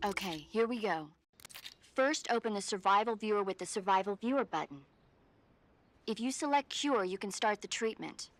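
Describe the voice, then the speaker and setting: An actress playing a medical advisor on the radio, calmly and patiently talking an injured agent through treating his wounds. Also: medium pitch, normal speed, faint music behind, close to an everyday voice. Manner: calm, patient, instructive. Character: calm female field medic on radio. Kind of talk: video game cutscene acting.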